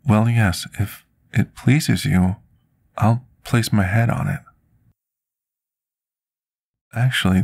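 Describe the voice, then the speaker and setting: Male actor as remorseful male potion master, very low pitch, husky, formal and deliberate, intimate ASMR roleplay. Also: darkish tone, dry recording, studio quality, exaggerated voice. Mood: tender, deferential. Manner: formal, deliberate, confessional storytelling. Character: scarred male potion master, devoted, remorseful, soft-spoken servant.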